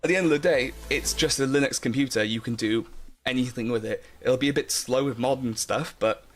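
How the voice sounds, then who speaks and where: A man's voice: mid-range voice, fairly quick, faint music behind. A young man chatting casually on a live stream, rambling with lots of fillers and restarts while he answers chat. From Wales.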